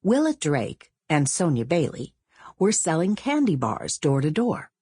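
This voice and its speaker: Low husky woman reading an audiobook, measured, very close dry sound. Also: no room sound. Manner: measured, expressive storytelling. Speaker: audiobook narrator. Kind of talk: scripted literary narration.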